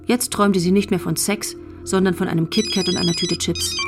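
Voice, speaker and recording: A woman's voice: lowish voice, fairly even intonation, brisk. A woman giving scripted literary narration as the narrator of a radio drama. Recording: music bed under the voice. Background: music.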